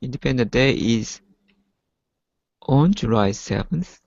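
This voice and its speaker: A man's voice: mid-range voice, animated, slow, gravelly, a little mellow, dry recording, dull audio. A man giving simple, hesitant answers as a student in an English class.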